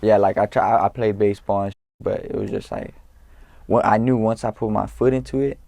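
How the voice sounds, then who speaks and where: A young man from California, a guest in an interview, talking in a laid-back, halting way with street slang and lots of fillers. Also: lowish voice, fairly even intonation, vocal fry, dark tone, no echo. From Norwalk, California. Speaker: guest, rapper. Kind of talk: casual interview talk.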